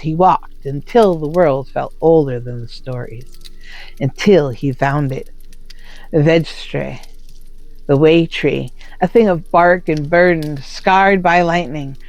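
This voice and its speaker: Woman, low voice, solemn storyteller, dramatic pauses, roomy echo, faint music behind. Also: darkish tone. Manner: solemn, deliberate phrasing with short dramatic pauses. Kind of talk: scripted dramatic folktale narration.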